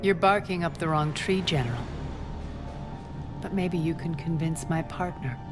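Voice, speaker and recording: A woman playing a ruthless, imperious crime boss in a video game, commanding, sardonic and deliberate. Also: deep voice, over music, natural voice. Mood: dry, defiant. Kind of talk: dramatic video game acting.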